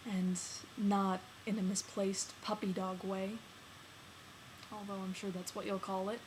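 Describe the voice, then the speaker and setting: Woman doing a lovesick guy pouring his heart out to a friend, halting then emphatic, bit of noise. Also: medium pitch, little pitch movement, natural voice. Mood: earnest confession. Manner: earnest, halting, emphatic delivery with swells in volume. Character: lovesick man confessing love to his friend. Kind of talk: dramatic film monologue performed on stage. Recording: noticeable background noise.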